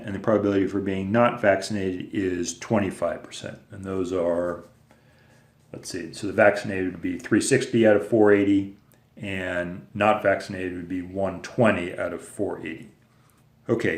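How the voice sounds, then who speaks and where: A man teaching a recorded lesson, explaining patiently step by step with casual fillers. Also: lowish voice. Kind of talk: recorded lecture video. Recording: echoey room.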